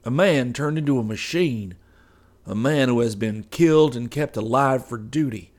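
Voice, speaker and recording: A man's voice: medium pitch, normal speed, darkish tone, pitched above the performer's own voice, natural voice. A man voicing a weary, sorrowful husband who pleads with obstinate sadness. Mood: bitter anguish. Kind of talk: audiobook character voice.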